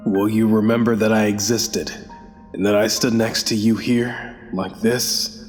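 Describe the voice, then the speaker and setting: A man playing an anguished, haunted lover in an audio drama, with emotional, halting delivery. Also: fairly low pitch, level tone, big-room sound, close to an everyday voice. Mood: mournful. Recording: music under the voice. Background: background music.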